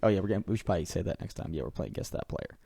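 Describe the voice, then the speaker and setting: A man's voice: sits low, big pitch swings, very fast, gravelly, warm tone, husky, no echo, studio quality. A man hosting a casual football podcast over a headset, chatty and swearing freely. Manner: swears often, loose and chatty. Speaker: podcast host. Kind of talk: casual chat.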